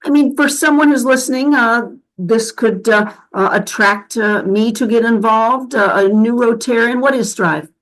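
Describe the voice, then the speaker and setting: Woman hosting a conversational interview, halting phrasing. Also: on the high side, rough recording. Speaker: interview host.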